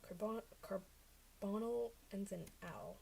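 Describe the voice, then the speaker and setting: A young woman, a native Chinese speaker, talks at an ordinary middle pitch as she works through her study notes on a livestream. She mutters, second-guesses and corrects herself as she goes. A faint background hiss sits under her desk microphone. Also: slow, creaky, darkish tone, poor audio. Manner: mutters to herself while checking answers, self-correcting.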